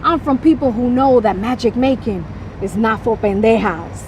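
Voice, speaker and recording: A woman's voice: fairly high pitch, moderate pace, a little hiss or hum. A woman performing a rhythmic, emphatic spoken word poem that mixes in Spanish phrases.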